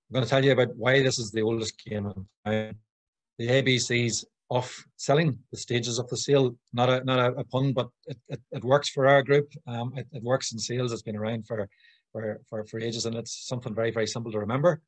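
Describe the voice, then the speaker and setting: A man's voice: medium pitch, no room sound. A man in his forties with a Northern Irish accent, presenting conversationally and a little hesitantly as a guest speaker on a video call. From Northern Ireland. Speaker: guest speaker, sales consultant. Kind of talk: informal business presentation. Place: room at home or small office, indoors. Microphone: computer mic on video call.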